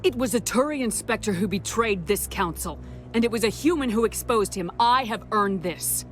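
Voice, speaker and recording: A woman's voice: medium pitch, expressive, moderate pace, soft background music, close to an everyday voice. A woman acting a determined, blunt military commander in a video game, speaking firmly and directly. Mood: firm, insistent. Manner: firm, direct.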